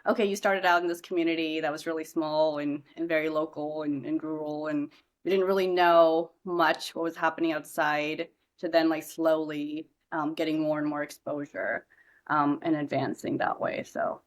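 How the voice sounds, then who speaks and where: A woman's voice: low voice, steady tone, normal speed. A young woman from India, a startup founder, talking through her story as a podcast guest, chatty, with many fillers and restarts. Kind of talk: casual podcast interview.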